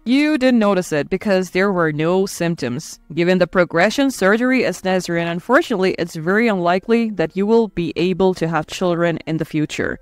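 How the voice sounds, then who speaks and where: A performer voicing a compassionate yet direct doctor in a narrated story, formal and measured. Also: mid-range voice, animated, clean tone, dry recording, natural voice. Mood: grave, direct. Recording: dry close voice over faint music.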